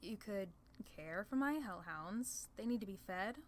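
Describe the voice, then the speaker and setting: Girl doing a smug demon queen for asmr roleplay, teasing and bossy, mid pitch, bit of background noise. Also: lively intonation, measured pace, lightly acted. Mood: businesslike, amused. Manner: condescending, playful, theatrical. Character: haughty, teasing female demon lord, amused and sly.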